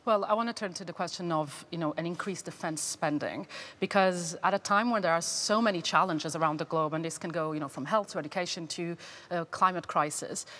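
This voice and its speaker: A woman's voice: low voice, a bit bright. A young woman moderating a live interview, conversational and often saying "you know".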